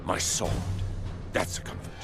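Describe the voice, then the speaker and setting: An actor playing a wry, grumbling warrior and loyal comrade in a fantasy video game, with expressive delivery. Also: male voice, a little slow, over loud music, close to an everyday voice. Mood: relieved grumbling.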